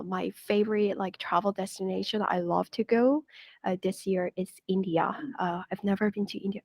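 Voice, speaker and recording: Woman with a gravelly voice. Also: medium pitch, a little mellow, no room sound. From South Korea. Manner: friendly, chatty, many fillers. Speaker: host. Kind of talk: casual online group chat. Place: airport waiting area.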